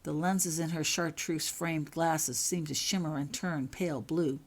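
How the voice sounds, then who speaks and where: A woman's voice: sits low, fairly even intonation, unhurried. A woman narrating a scripted story aloud in an audiobook-like reading. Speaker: author reading own fan fiction aloud.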